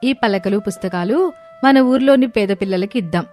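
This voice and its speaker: A woman voicing a wise, gentle, devout eldest daughter-in-law in a family story, earnest and explanatory. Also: mid-range voice, normal speed, faint music behind, natural voice. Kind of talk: scripted story dialogue.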